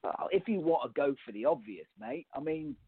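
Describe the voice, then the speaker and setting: Man acting a lively, defensive, nerdy guy, tinny sound. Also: on the high side, brisk, creaky, breathy edge, no room sound, exaggerated voice. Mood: argumentative. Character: defensive, smitten, nerdy male friend in a farce. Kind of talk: comic radio play acting. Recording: tinny, narrow phone-like sound.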